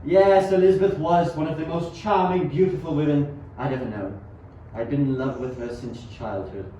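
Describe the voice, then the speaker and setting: A man's voice: fairly high pitch, normal speed, mellow, lightly acted. A young man acting an earnest, obsessive 19th-century scientist in an amateur radio play, with dramatic, formal delivery. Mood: brooding. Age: young adult. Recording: very echoey, distant, dull, faint noise.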